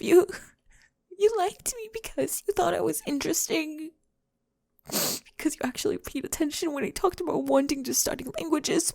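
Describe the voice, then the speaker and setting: A woman playing a remorseful, vulnerable young woman in an intimate emotional ASMR roleplay, speaking haltingly and tenderly in a breathy, often whispered voice, close to the mic. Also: sits high, a little mellow, dry recording. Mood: tearful, apologetic. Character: remorseful, vulnerable young woman confessing to someone she rejected. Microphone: close, dry microphone.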